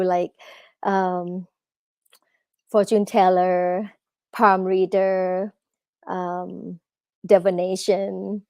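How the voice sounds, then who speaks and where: A woman's voice: fairly low pitch, dark tone, no echo. A woman, an author giving a live talk at a book festival, hesitant with many fillers. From Thailand. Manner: hesitant, many fillers, halting non-native English.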